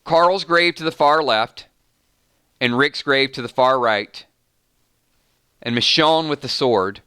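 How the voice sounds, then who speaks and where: A man's voice: medium pitch, takes it slowly, bright, forceful tone, clear, resonant tone, no echo, clean studio sound. A man hosting a fan live stream, chatting casually with viewers and breaking off mid-sentence with "hold on" and "wait a minute.". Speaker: fan channel host. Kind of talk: live-stream commentary reading chat.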